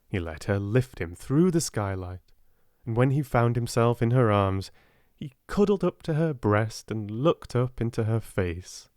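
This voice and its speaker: Soft-spoken British man reading slowly. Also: medium pitch, no room sound, clean studio sound. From Britain. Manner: gentle, unhurried, expressive. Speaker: audiobook narrator. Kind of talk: soft-spoken storybook narration.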